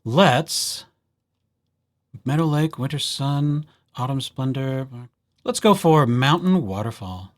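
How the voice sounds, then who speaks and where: A man presenting a casual software tutorial as a library host, with playful, wry asides. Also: mid-range voice, lively intonation, takes it slowly, long pauses. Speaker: library presenter hosting a digital services tutorial.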